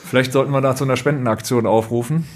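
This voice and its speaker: A man's voice: mid-range voice, fairly even intonation, racing, darkish tone. A man hosting a casual talk show, chatty and jokey, with many fillers and restarts. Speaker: podcast host. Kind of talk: casual chat.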